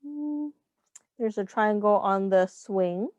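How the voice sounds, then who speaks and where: A woman reading a picture book aloud to young children as a teacher, explaining simply and pausing to ask questions. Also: medium pitch, expressive, darkish tone, no echo, highs cut off, faint music behind.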